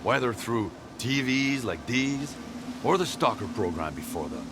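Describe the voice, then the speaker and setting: An actor playing a bitter, secretive male loner in a video game cutscene, speaking in a low, grave and guarded way. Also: very animated, measured pace, noisy background. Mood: grim.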